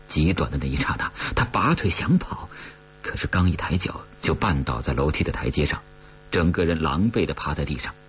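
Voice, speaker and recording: Very deep-voiced man narrating horror fiction. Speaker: audiobook narrator. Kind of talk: scripted narration of a horror story. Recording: dull recording with mains hum.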